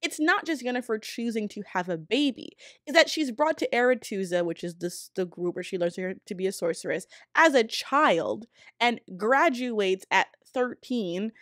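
A woman's voice: on the high side, expressive, normal speed, a bit bright, no echo. Emphatic woman video essayist, dry close sound. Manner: emphatic, informal. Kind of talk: opinionated video essay commentary. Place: small room at home. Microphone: close desk microphone on arm. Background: background music.

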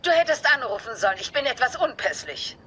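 Bright, high-pitched haughty older mother. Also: sing-song, fairly quick, slight background noise. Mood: irritated, dismissive. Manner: curt, imperious. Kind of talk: German sitcom dubbing.

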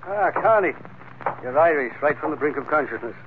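A man's voice: fairly high pitch, lively intonation, fairly quick, natural voice. Tinny old-radio sardonic doctor played by a man. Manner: wordy, dry, sardonic. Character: sardonic, florid, fatalistic male island doctor. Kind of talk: 1940s radio adventure drama acting. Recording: tinny, noisy 1940s radio recording.

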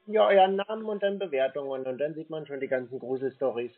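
A man with a husky voice, talking casually and confrontationally, blunt, insistent and sceptical. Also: a little high, quick pace, phone-quality sound. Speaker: scam baiter confronting a phone scammer. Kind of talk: casual confrontational phone conversation.

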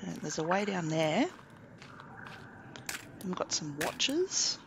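A man's voice: sits high, takes it slowly, creaky, noisy background. A man casually commentating a survival game, thinking aloud as he plays. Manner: relaxed, chatty, thinking aloud while playing. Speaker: gaming commentator. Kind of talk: casual let's play commentary.

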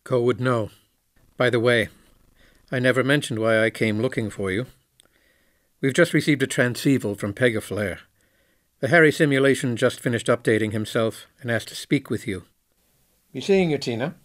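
Guy acting as a thoughtful ex space commander, measured and candid, dry close sound, sci-fi audio play. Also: mid-range voice, no room sound, close to an everyday voice. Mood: matter-of-fact. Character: reflective, candid former station commander, male simulation. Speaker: actor in an audio drama. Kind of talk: science fiction audio drama acting.

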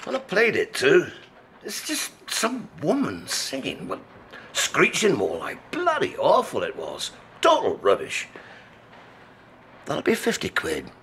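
A brusque, animated scrapyard worker. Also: male voice, a little high, slow, slight background noise, heavily acted. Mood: grumbling. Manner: blunt, grumbling. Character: brusque, money-minded male scrapyard crusher operator. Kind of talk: radio drama acting.

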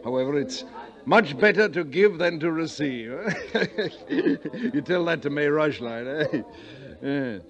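An actor playing a pompous, jovial old colonel with florid, blustering speech in 1940s radio drama. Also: male voice, on the high side, animated, slow, a bit bright, dull audio, exaggerated voice. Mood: pompous, chuckling. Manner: florid, orotund, chuckling.

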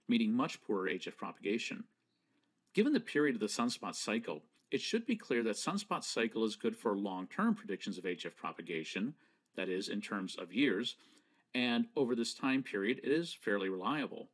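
Male narrator for a technical lesson: measured and precise, with a pitch that rises and falls a lot. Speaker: presenter. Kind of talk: scripted slideshow lecture.

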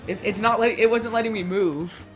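A woman's voice: mid-range voice, brisk. A young woman hosting a casual gaming video, chatting and explaining the game to her friend as they play. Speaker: channel host playing a fighting game. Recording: loud game music behind.

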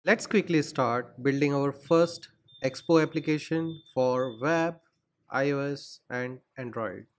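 A man's voice: mid-range voice, takes it slowly. A man hosting a screen-recorded coding tutorial, explaining steps and spelling out terminal commands. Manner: steady, instructional, spells out commands.

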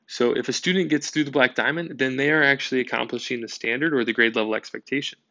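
A male teacher narrating a whiteboard lesson in a steady, explanatory way. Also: medium pitch, fast, no echo, muffled recording.

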